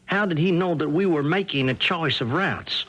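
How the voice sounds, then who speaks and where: Defiant young american gi in old radio play, high husky voice, worked up. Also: male voice, moderate pace, fairly bright tone. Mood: angry, defiant. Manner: agitated, blunt, colloquial. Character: hot-headed, defiant young American corporal in wartime. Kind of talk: 1970s radio drama acting.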